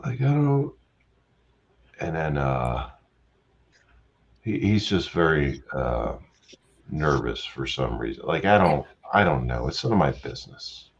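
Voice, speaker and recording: Very low male caller, meandering delivery, dull lo-fi line. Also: sing-song. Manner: rambling, halting, lots of fillers. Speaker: guest caller. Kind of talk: casual live chat. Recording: dull lo-fi call audio with faint noise.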